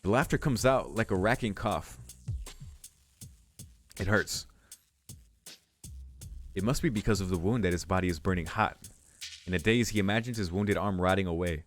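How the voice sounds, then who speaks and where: A man's voice: sits low, moderate pace, pauses often. A man hosting a let's play, reading visual novel narration aloud and adding casual commentary. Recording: music playing behind the voice. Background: game music.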